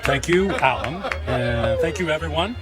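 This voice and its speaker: A man's voice: a little high, expressive, measured pace, loud music behind the voice. An actor playing a smug, ruthless, self-absorbed businessman in a radio drama, sarcastic and dryly mocking. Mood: smug, boastful.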